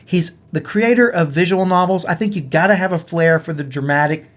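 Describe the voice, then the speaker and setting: Higher-voiced man in casual podcast chat. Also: brisk. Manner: informal, frequent "like", musing. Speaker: podcast co-host.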